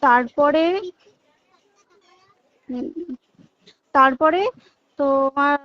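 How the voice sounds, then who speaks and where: A woman's voice: high voice, steady tone, drawn-out words, dry recording. A woman with a West Bengal Bengali accent giving an emphatic, repetitive account in an informal phone conversation, mixing in English words. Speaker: hospital staff member giving an account. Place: phone call. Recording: muffled lo-fi recorded phone call.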